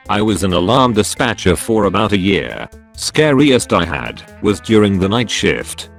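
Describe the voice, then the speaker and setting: A man narrates first-person forum stories in a scripted read. His voice sits on the low side and his intonation is lively and varied. Faint music plays with the narration. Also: vocal fry. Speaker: narrator reading online forum posts.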